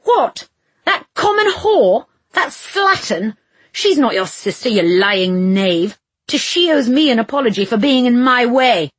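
A woman's voice: medium pitch, slow, few pauses, a bit bright, husky, dry recording. A woman voicing a pompous, violent nobleman in an audiobook, haughty and commanding with archaic insults. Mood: scornful outrage.

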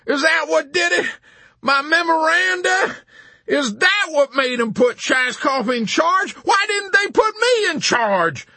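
Shrieking, hysterical army general. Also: male voice, expressive, slow pace, bright, forceful tone, no room sound, full character voice. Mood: wounded disbelief. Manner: shrill screaming, wailing, frantic. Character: hysterical pompous male army general, panicking and wailing.

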